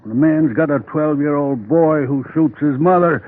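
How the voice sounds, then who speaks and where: A man acting in a 1950s radio drama as a weary, aging, long-suffering father with a plain-spoken, deliberate, folksy way of talking. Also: a little high, natural voice. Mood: bitter confession. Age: older. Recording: muffled old radio broadcast.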